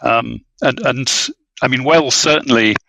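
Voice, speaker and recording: A man speaking as a literary scholar on a podcast, halting and repeating words as he works out his ideas. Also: medium pitch, gravelly, pushed and bright, no room sound, poor audio. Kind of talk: unscripted analytical podcast discussion.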